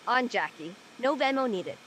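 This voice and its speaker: A woman acts out a practical teenage girl in a conversational audio drama. Her voice sits fairly high and swings freely in pitch, with a casual, matter-of-fact delivery over clear background noise. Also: animated, moderate pace, a bit bright, rough recording. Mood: friendly, casual. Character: Jackie, practical seventeen-year-old high school girl. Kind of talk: conversational acting in an online audio play.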